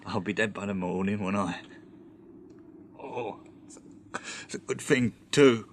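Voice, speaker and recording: A man's voice: on the low side, a little hiss or hum. An actor playing a plain-spoken, friendly working-class British man in a radio drama, speaking hesitantly. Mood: weak, resigned. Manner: hesitant, halting.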